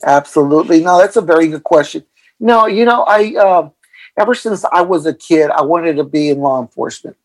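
A man's voice: a little high, a little fast, no echo. A middle-aged man from El Paso, Texas, a mindfulness coach, answering interview questions with many fillers and restarts. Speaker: guest, mindfulness coach for athletes.

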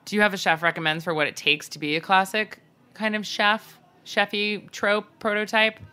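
A woman co-hosting a podcast in casual comedic chat, telling things in a joking, animated way. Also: medium pitch, moderate pace, clear, resonant tone. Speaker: podcast co-host.

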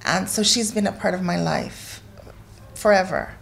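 A woman's voice: mid-range voice, measured pace, creaky, a little hiss or hum. A woman from Guatemala, a cookbook author, speaking conversationally and heartfelt as an interview guest.

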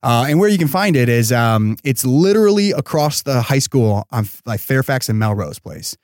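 A man with a medium-pitched voice talks casually as an interview guest. He sounds enthusiastic and slangy, leaning on conversational fillers like "you know." The sound is dry, with very little room to it. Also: very animated, normal speed, a little mellow, no room sound. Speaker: podcast guest, startup founder.

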